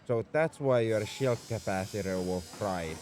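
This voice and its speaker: A man's voice: on the low side, a little slow, darkish tone, a little hiss or hum, lightly acted. A man playing a tsundere mech engineer in a roleplay audio drama, scolding and sarcastic with worry underneath. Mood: angry, incredulous. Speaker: voice actor.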